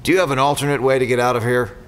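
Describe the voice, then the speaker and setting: An actor playing a shrewd, cocky mercenary in an audio drama, sardonic and confident. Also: male voice, medium pitch, steady tone, very fast, fairly bright tone, clear, resonant tone, lightly acted. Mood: coolly confident.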